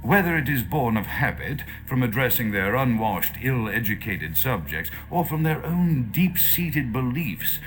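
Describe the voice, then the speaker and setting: A man's voice: medium pitch, very animated, a little slow, hardly any pauses, fairly bright tone, low-quality recording. An actor playing a pompous, erudite male vampire doctor, dictating a theatrical game monologue in florid, precise, disdainful phrases. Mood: grave, disdainful. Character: pompous erudite male vampire doctor, self-assured, paranoid-era Victorian diction. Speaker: audio diary narrator.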